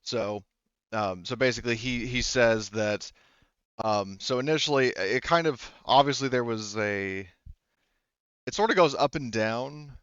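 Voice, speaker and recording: A man game-mastering a tabletop roleplaying game, informally relaying a character's answers in a halting way, rephrasing as he goes. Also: mid-range voice, fairly bright tone, smooth, no echo, dull audio.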